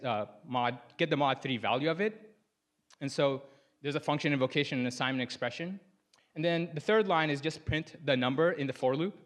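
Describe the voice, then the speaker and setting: A man's voice: medium pitch, fairly bright tone. A young man from Sudan, a native Arabic speaker, giving a casual conference talk on stage into a lapel mic, often using "like" and "you know".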